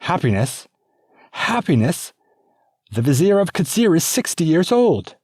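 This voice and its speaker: A man voicing a fierce, angry young princess with a high, strained voice. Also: big pitch swings, normal speed, a little mellow, slightly rough, no room sound, clean studio sound. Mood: indignant.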